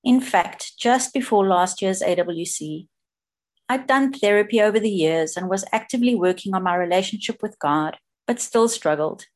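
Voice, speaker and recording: A South African woman sharing her personal story in a plain, steady way over a video call. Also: mid-range voice, moderate pace.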